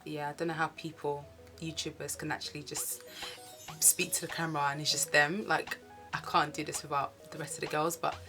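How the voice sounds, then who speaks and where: A woman's voice: sits low, flat delivery, fairly quick, music in the background. A young woman from Nigeria, nervous and hesitant, telling her life story to camera with many ums and restarts. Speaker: vlogger sharing a testimony. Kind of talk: casual personal storytelling to camera.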